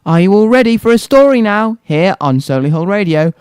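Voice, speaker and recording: A man's voice: high-pitched, expressive, fairly quick, over music. A man presenting a children's radio show, speaking directly to young listeners with repeated phrases and questions. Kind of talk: scripted-sounding live radio presenting to children.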